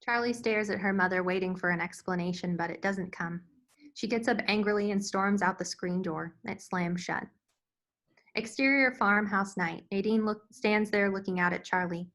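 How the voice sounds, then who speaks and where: A woman's voice: medium pitch, steady tone, moderate pace, a bit bright. A woman reading scene headings and action lines as scripted narration for a screenplay. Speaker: stage-direction narrator.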